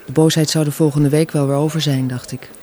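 A woman playing a wistful, gently stubborn mother in her fifties in a Dutch radio drama, with a husky voice. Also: sits low, fairly even intonation, a little fast, natural voice. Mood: subdued, wistful. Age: middle-aged. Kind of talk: Dutch radio play dialogue, naturalistic.

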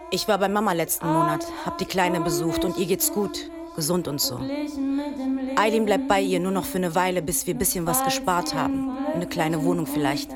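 A woman's voice: fairly low pitch, close to an everyday voice. An actress playing a weary young migrant mother who speaks her confiding prayers in a radio drama, with music behind her.

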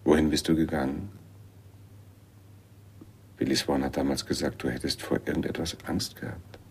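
Very deep, gravelly noir pianist. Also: male voice, steady tone, moderate pace, a little mellow, exaggerated voice. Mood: urgent, hurt. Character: wounded, lovelorn male jazz pianist, world-weary noir hero. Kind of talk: radio drama acting.

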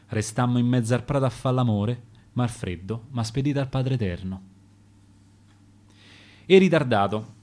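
A man with a Roman accent reciting his own rhymed sonnets on radio, in a measured, rhythmic verse delivery. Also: mid-range voice, darkish tone. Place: radio studio.

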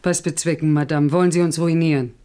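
A woman's voice: low-pitched, steady tone, fast talker, mellow, clear, resonant tone, close to an everyday voice. Middle-aged brothel-keeping mother, played by a woman for radio drama: needy, guarded, deferential, hesitant. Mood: uneasy. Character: wary, needy widowed mother running a discreet brothel. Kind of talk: German radio play acting.

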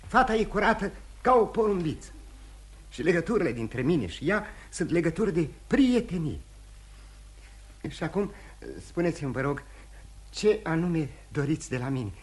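An actor playing a remorseful, courteous, dissolute gentleman husband in theatrical radio drama style, candid and halting with repetitions. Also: on the high side, very animated, breathy edge. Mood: courteous, uneasy. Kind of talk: mid-century Romanian radio theatre acting.

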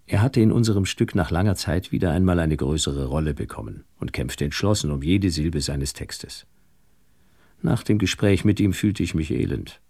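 A man's voice: rumbling low, vocal fry, a little mellow, breathy edge, dry recording, full character voice. An actor playing a world-weary theatre producer who tells his story in a dry, wry, reflective radio drama style. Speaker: narrator and lead actor. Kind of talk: radio drama narration and dialogue.

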